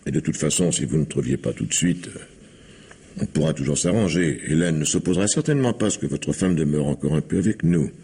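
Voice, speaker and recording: Middle-aged factory owner for French radio drama: gravelly, bottom-register voice, smug, condescending, faltering delivery. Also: male voice, a bass voice, animated, fairly quick, warm tone, full character voice. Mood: placating. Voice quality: gravelly, husky. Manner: hesitant, patronising. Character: self-satisfied factory owner pushing out his brother's household.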